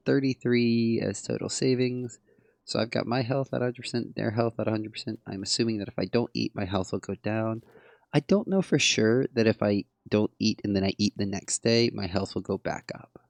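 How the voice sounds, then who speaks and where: A hoarse man casually thinking aloud and tallying numbers while commenting on his game. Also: medium pitch, a little mellow, no room sound. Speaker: game player. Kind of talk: let's play commentary.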